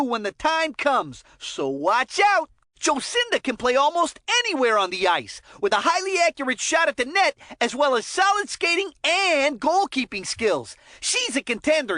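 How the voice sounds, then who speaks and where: A woman's voice: high-pitched, fairly bright tone, dry recording, clean studio sound. A woman voicing a bubbly, goofy young hockey commentator in a kids' video game, chatty and folksy with plenty of catchphrases. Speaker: sports commentator. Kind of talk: animated scripted video game sports commentary.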